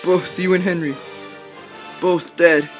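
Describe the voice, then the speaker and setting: A man's voice: high voice, little pitch movement, slow pace, soft background music. An actor playing a tormented, guilt-ridden man of science, fervent and anguished in old-time radio drama style. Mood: frantic, grieving. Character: tormented, guilt-ridden male scientist haunted by his creation.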